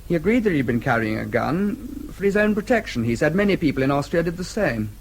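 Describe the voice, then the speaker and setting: An actor playing a dry, formal British diplomat in a radio drama, with a slightly husky voice and a wry manner. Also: male voice, on the high side, very animated, fairly quick, a little hiss or hum. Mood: thoughtful.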